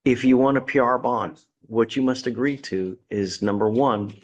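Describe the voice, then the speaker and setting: Judge guy laying down the law, sarcastic and blunt, middle pitch, courtroom. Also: moderate pace, darkish tone, muffled recording. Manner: blunt, sarcastic, folksy sayings. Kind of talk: stern courtroom lecture from the bench.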